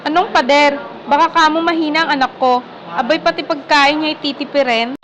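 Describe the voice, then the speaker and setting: A high-voiced, cranky older Filipino mother-in-law played by an actress in a radio drama. She nags and scolds with sarcastic scorn. There is faint noise behind her. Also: fairly even intonation, brisk, fairly bright tone. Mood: bitter grumbling.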